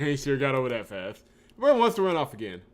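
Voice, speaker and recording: A man hosting a let's play, reading game narration aloud and breaking into excitable commentary. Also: medium pitch, quick pace. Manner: excitable, exclamatory reactions.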